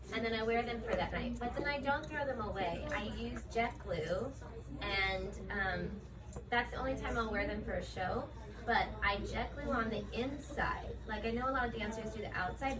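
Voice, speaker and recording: A woman, a professional ballet dancer, answering interview questions in a casual, chatty way, full of "like" and "um". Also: mid-range voice. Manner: chatty, frequent fillers like "like" and "um". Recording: distant mic with steady background noise.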